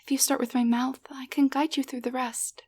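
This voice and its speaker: Woman acting a high-voiced, gentle, tiny enchanted doll. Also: level tone, brisk. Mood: sleepy, grateful. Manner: soft, breathy, hesitant, tender delivery. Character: gentle, grateful young waitress cursed into a tiny living doll. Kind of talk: intimate roleplay audio drama addressed to the listener.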